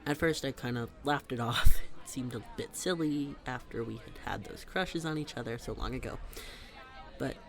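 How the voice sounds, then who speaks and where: A man playing a smitten young best friend in a roleplay audio, talking to the listener in a halting, playful, nervous way. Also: medium pitch, vocal fry, over music, natural voice. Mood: nervous, earnest. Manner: halting, giggly, playful, addressing the listener directly. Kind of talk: scripted roleplay audio, intimate conversational acting. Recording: public place with crowd murmur.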